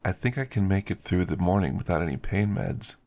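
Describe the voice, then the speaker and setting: A man's voice: low-pitched, lively intonation, brisk, a little mellow. A man voicing an easygoing, mild male werewolf in an ASMR story. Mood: satisfied. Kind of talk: character dialogue in an ASMR story.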